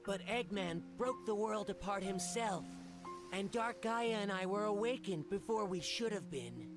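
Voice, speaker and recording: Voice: medium pitch, lively intonation, measured pace, lightly acted. An actor voicing a small, childlike guardian spirit from a video game, earnest and hesitant in delivery. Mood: solemn, earnest. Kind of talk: animated video game cutscene acting. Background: game music under the voice.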